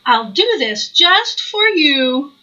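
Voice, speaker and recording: A woman's voice: high voice, sing-song, slow pace, bright, forceful tone, muffled recording, exaggerated voice. A woman voicing a silly, gleeful male puddle duck from a storybook, playfully honking and clucking.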